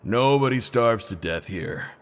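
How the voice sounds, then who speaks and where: A man's voice: medium pitch, lively intonation, measured pace, lightly acted. Man playing a blunt, loyal prison old hand. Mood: gruffly earnest. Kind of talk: English video game voice acting.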